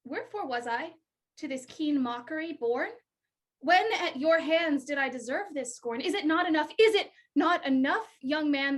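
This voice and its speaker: A woman's voice: high-pitched, close to an everyday voice. Woman playing a scorned, indignant young woman. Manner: indignant, reproachful verse. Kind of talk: Shakespearean stage acting.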